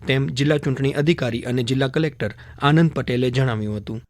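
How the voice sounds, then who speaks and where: A man's voice: mid-range voice, fast talker, no room sound. A man reading scripted radio news in a formal bulletin style.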